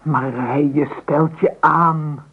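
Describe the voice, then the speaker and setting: A woman's voice: low-pitched, fairly even intonation, slow, slightly rough, exaggerated voice. An actress playing a scornful, worried middle-aged mother who scolds and exclaims in a Dutch radio play. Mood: scornful, dismissive. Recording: tinny old radio recording.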